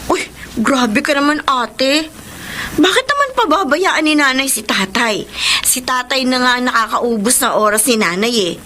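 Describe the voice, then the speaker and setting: A woman playing a dutiful young daughter, earnest and expressive, in a radio drama. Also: high-pitched, normal speed. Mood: indignant. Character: dutiful younger sister defending her mother. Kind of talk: Filipino radio drama acting. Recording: noisy radio drama track.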